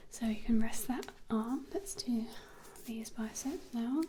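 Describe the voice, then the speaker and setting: A woman whispering gently and unhurriedly in an ASMR role-play, as if conducting a measuring appointment. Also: on the high side, slow pace, background noise.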